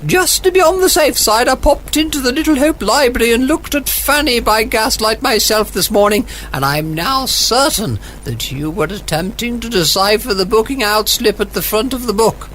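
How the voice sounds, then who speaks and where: Woman playing a haughty high-pitched British agony aunt. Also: expressive, moderate pace, hardly any pauses, fairly bright tone, slight background noise, poor audio. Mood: pompous, scolding. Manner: pompous, withering, formal. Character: haughty, sardonic, gin-loving 1940s village agony aunt. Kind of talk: 1940s radio comedy acting.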